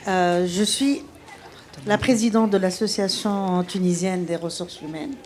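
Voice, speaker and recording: A woman, an HR association president, speaking in a measured way and searching for words. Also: on the low side, darkish tone, slight background noise. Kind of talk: spontaneous testimony.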